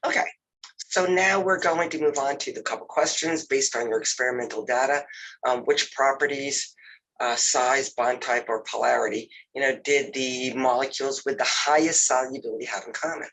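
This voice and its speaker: A man's voice: mid-range voice, moderate pace, rough recording. A man with a cold and a nasal, congested voice, walking through a chemistry lab over a video call, using many fillers. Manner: relaxed, filler-heavy, says "you know" often. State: has a cold. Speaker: lab instructor.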